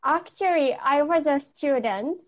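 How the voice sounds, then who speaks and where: Slow young woman. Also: high voice, highs cut off. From Japan. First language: Japanese. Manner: shy, searching for words, laughing softly. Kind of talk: casual panel discussion.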